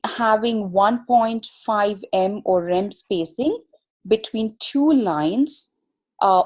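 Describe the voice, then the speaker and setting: A woman presenting an instructional webinar as an expert, explaining in a measured, formal manner. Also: mid-range voice, a little mellow, husky.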